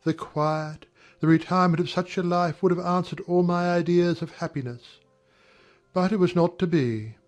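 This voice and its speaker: A woman voicing a smooth-talking, self-pitying young gentleman in a dramatized audiobook, wistful and mannered. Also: lowish voice, normal speed, faint music behind, lightly acted. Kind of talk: dramatized audiobook character acting.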